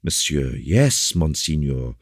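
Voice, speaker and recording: A man's voice: rumbling low, sing-song, slow, no room sound, clean studio sound, exaggerated voice. A man voicing an earnest, courteous young officer with an eager, agitated delivery in an audiobook. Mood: relieved, polite. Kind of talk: audiobook character dialogue.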